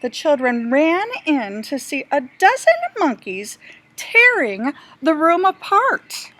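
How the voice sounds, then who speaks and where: Female children's storybook narrator: high pitch, very unhurried delivery, expressive, teacherly. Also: no echo. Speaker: teacher reading to pupils.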